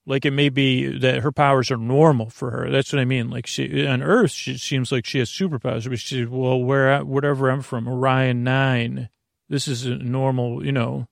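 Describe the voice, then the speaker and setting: A man hosting a sleep podcast in a gravelly voice, with a meandering, stammering, reassuring bedtime monologue that keeps losing its thread. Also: medium pitch, flat delivery, moderate pace, dry recording, studio quality. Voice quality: creaky, gravelly.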